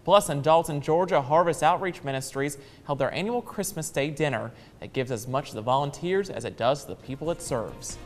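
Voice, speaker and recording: A man's voice: fairly high pitch, a little fast, hardly any pauses, in a large hall. A man with an American accent reading scripted news as a television anchor. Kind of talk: scripted newscast delivery.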